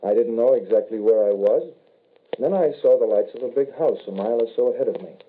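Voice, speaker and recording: An actor playing a young soldier returned from the grave in 1940s radio horror, subdued and measured, on a tinny old recording. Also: male voice, mid-range voice, a little hiss or hum, close to an everyday voice. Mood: grave confessing. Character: somber, reluctant dead soldier son returned from grave.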